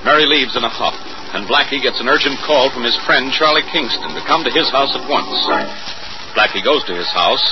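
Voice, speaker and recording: A man's voice: mid-range voice, animated, fairly quick, very noisy. A man narrating a story recap as the announcer of a 1940s radio show, in scripted style. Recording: dull old radio recording, loud music. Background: loud music.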